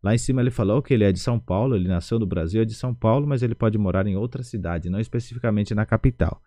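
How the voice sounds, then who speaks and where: A man with a Brazilian Portuguese accent teaching an online language lesson, slowly repeating each word syllable by syllable. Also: lowish voice, warm tone, no room sound.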